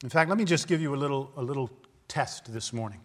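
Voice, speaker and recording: A man's voice: mid-range voice, quick pace, fairly bright tone, in a large hall. A man in his fifties preaching a sermon in an earnest, conversational way, often asking his listeners rhetorical questions. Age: 50s. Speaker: pastor.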